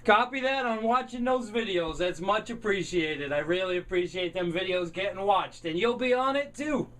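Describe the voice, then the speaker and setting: A high-pitched American man chats as a CB radio operator, repeating call signs and friendly sign-offs. He sounds set back from the microphone, with clear radio noise around him. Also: normal speed. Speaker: CB radio operator and video maker. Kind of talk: CB radio contact chatter with call numbers. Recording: noisy, distant mic with radio static.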